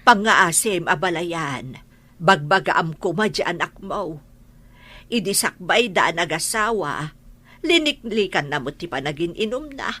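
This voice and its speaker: A woman's voice: lowish voice, animated, a little slow, pushed and bright, slightly rough, full character voice. A woman with a Filipino accent playing a concerned middle-aged mother-in-law in a radio drama, speaking imploringly. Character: concerned older mother-in-law pleading for her daughter. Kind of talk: Ilocano radio drama acting.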